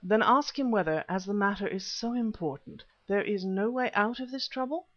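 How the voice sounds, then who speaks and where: A man voicing a polite, earnest young gentleman, courteous and emphatic, in an audiobook adventure story. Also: high voice, moderate pace, pitched above the performer's own voice, close to an everyday voice. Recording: slightly dull, lo-fi audio.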